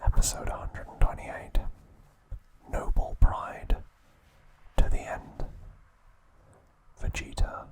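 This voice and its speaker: A man whispering ASMR trivia narration in a soft, steady voice, with casual asides now and then. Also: takes it slowly, pauses often. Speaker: ASMR creator.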